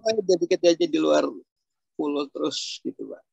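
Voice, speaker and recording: A man's voice: on the high side, animated, moderate pace, gravelly, darkish tone, breathy edge, no echo. A man from Surabaya giving a candid, chatty testimonial over a video call, with a self-deprecating manner. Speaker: program participant giving a testimonial. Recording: dry, dull lo-fi call.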